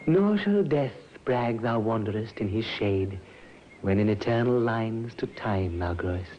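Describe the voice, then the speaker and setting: Outwardly devoted husband, smooth, courteous, affected, lively intonation, old radio drama, dull noisy recording. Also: male voice, lowish voice, unhurried. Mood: tender, reciting. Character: smooth-talking, outwardly doting husband who secretly schemes. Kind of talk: 1950s radio drama acting. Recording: muffled old radio recording, background noise.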